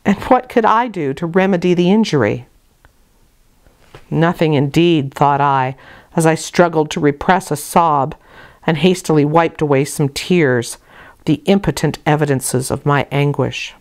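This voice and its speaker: A woman reading an audiobook of a classic novel in a measured, dramatic storytelling manner. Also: sits low, darkish tone. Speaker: audiobook reader. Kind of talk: expressive literary narration.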